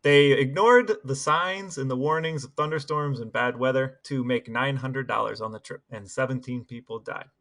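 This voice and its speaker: A man's voice: mid-range voice, normal speed, hardly any pauses, fairly bright tone. A man co-hosting a podcast, laying out facts in a conversational, explanatory way. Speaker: podcast co-host. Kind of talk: reading texts and recounting facts conversationally.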